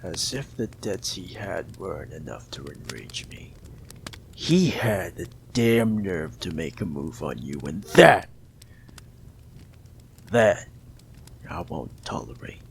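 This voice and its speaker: A man voicing a possessive, menacing mob boss in an audio roleplay, gravelly and slow, coldly affectionate and often whispering. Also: low-pitched, big pitch swings, slight background noise, heavily acted.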